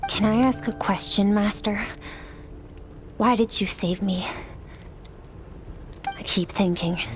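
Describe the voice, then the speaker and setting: A woman's voice: fairly low pitch, lightly acted. A woman playing a stoic, driven young sword disciple in a video game, restrained and solemn. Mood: sorrowful, submissive. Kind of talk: dramatic video game acting. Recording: long reverb, background music.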